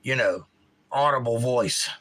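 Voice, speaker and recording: A middle-aged man whose Georgia accent has drifted a bit north, chatting casually with his livestream viewers. His voice sits in an ordinary middle pitch with a bright, forward edge, and he spells out every consonant with care. He sounds animated and opinionated as he reads comments aloud and answers them. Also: measured pace. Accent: Georgia, US South. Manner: enunciates crisply, animated, opinionated. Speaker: livestream host.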